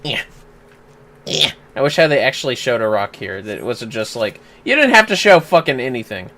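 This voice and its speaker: A game streamer with a bright, forward voice, chatting off the cuff. The delivery is casual, wry and grumbly, with plenty of swearing. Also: medium pitch, big pitch swings, normal speed. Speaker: livestreamer.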